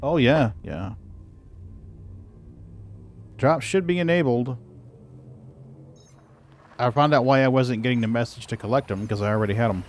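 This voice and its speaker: A man's voice: mid-range voice, moderate pace, pauses often, slight background noise. A man streaming a game, chatting casually with a friend, talking to himself and humming idly. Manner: relaxed, talks to himself, hums idly. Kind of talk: casual chat while gaming.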